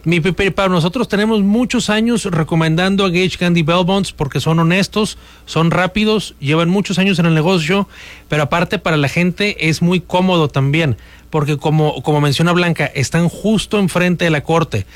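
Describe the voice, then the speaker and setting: A man's voice: sits high, moderate pace, no echo. A man hosting a radio talk show, giving advice and recommending a business in an upbeat, persuasive way. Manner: upbeat, persuasive promotional delivery.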